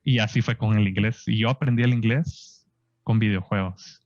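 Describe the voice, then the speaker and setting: A man in his thirties from Guatemala with a Guatemalan Spanish accent, telling his story in a relaxed, chatty interview with frequent tag questions. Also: fairly low pitch, normal speed, no room sound. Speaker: guest scientist. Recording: dull lo-fi dry podcast audio.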